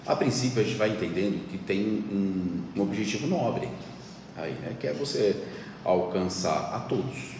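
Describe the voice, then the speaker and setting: Guy, deep voice, teaching step by step, far from the mic, big reverb. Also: normal speed, noisy background. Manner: didactic, explains step by step, animated when mimicking a politician. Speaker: church music director. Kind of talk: podcast interview, teaching. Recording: echoey room from far off, faint ambience.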